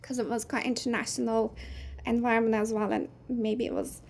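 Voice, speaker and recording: A woman in her thirties, a native Turkish speaker, talks over a video call in an easy, thoughtful way, often pausing on "um". Her voice sits fairly high with a gravelly fry at the ends of phrases. A faint hiss of noise runs underneath. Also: flat delivery. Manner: thoughtful, soft-spoken with frequent "um"s. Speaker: guest, game producer. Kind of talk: casual interview chat.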